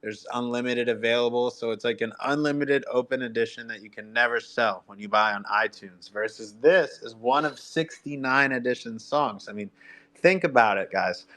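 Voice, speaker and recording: Hyped man pitching to his listeners. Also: normal speed, fairly bright tone. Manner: hyped, salesy, addressing listeners as guys. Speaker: music artist and radio host. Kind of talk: promotional livestream pitch.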